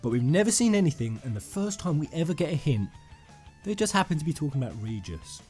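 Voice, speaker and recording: A man hosting a fan theory video, rambling and emphatic, addressing his viewers directly in an excited commentary style. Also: fairly high pitch, quick pace. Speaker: gaming YouTuber, host. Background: music under the voice.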